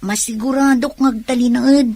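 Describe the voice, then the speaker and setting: Sincere young sweetheart, high voice. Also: female voice, fairly even intonation, moderate pace, dark tone, slightly rough, no echo. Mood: sincere, tender. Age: young adult. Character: sincere, affectionate young female student sweetheart. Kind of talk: radio drama acting.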